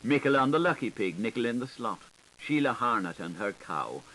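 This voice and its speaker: A man's voice: mid-range voice, moderate pace, poor audio. A man reading literary prose as an audiobook narrator, with a theatrical, varied, lilting delivery. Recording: hissy 1980s tape recording. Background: steady tape hiss.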